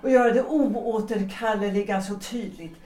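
A roomy, slightly distant recording of an actress as a resolute wife in a period radio play. She speaks lines in a measured, formal and deliberate way, at a middle pitch for a woman. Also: dark tone, lightly acted. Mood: earnest, confessing. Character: resolute married woman leaving her husband for love, 1906. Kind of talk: period stage-play audio drama acting.

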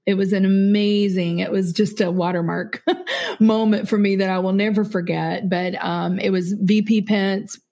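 Female business leader, interview guest, warm mellow voice, earnest and halting. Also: medium pitch, fairly even intonation. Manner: earnest, hesitant, many fillers. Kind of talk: casual interview chat.

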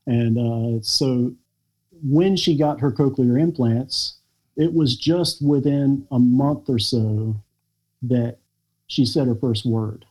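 An American man, a podcast guest, telling a personal family story in a halting, reflective way with many pauses and fillers. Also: mid-range voice, dull audio. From United States. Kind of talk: podcast interview conversation.

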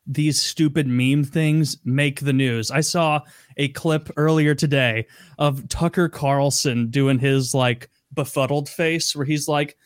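A man co-hosting a casual podcast chat, wry and quick to laugh and make mocking asides. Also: fairly high pitch, fairly even intonation, no room sound, clean studio sound. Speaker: podcast co-host.

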